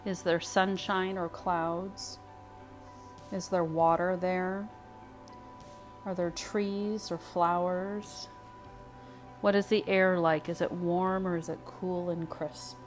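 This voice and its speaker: A woman leading a guided meditation in a soothing, unhurried way, speaking phrase by phrase with pauses. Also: lowish voice, flat delivery. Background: music.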